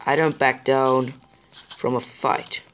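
Chatty gamer with a sore throat. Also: male voice, medium pitch, level tone, takes it slowly, fairly bright tone, muffled recording, a little hiss or hum. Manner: chatty, apologetic, addresses viewers directly. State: sore throat, voice a bit rough. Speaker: gaming channel host. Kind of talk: casual gameplay commentary.

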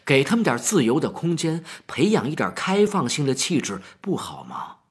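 A man playing a composed, liberal-minded professor and department head in an audio story, reasoning in a measured, authoritative way. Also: a little high, wildly swooping pitch, a little hiss or hum. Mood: calmly arguing.

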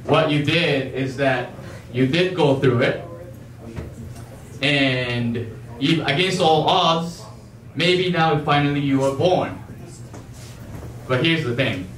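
A young man from Japan performs stand-up with a high voice. He delivers his set emphatically but haltingly, repeating phrases. The handheld stage mic sounds echoey and distant. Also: a bit bright. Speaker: amateur stand-up comedian. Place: theater stage before a live audience. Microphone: handheld wired microphone.

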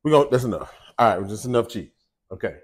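A man in his forties hosting a casual home cooking show from his kitchen, talking straight to viewers in an animated, chatty way. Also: medium pitch, quick pace. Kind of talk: casual cooking chat and monologue.

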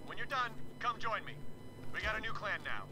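An actor playing a bossy, excitable, comically stern bandit gang leader in a video game, blustering and commanding. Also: male voice, high-pitched, fairly quick, rough recording. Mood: bossy, mock-stern. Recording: game audio with music underneath.

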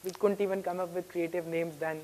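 A man's voice: high-pitched, level tone, brisk, warm tone. Middle-aged male keynote speaker, Indian accent, candid, informal Q&A delivery. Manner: candid, conversational, frequent "right?" tags. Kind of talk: informal audience question-and-answer. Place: conference hall stage, indoors.

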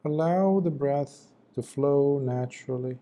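Very mellow, slow-speaking man guiding meditation. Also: medium pitch. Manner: gentle, unhurried, with long pauses between short phrases. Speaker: meditation teacher, Buddhist monk. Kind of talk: guided meditation, slow spoken instruction.

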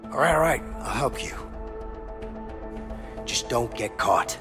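A man's voice: mid-range voice, expressive, takes it slowly. An actor playing a reserved, cautious male prison inmate in a naturalistic video game, speaking tersely. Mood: urgent caution. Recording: music behind.

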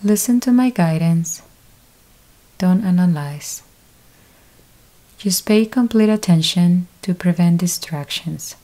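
A woman guides a deep-rest meditation, speaking very slowly with long pauses between short instructions. Her voice is low-pitched and mellow, with a relaxed, unhurried delivery throughout. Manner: slow, calm step-by-step instructions with long pauses. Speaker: yoga nidra teacher.

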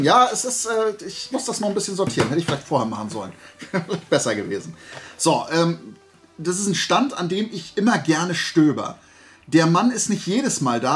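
A man's voice: on the high side, fairly bright tone. A middle-aged man chatting casually to camera as a collector presenting his finds, rambling and often interrupting himself. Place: indoor room lined with shelves of collectibles.

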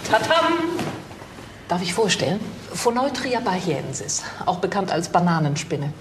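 An actress playing a self-assured forensic examiner in a crime drama, explaining with confidence. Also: female voice, fairly low pitch, big pitch swings, unhurried, heavy background noise. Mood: pleased, explanatory. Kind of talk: crime drama acting in German.

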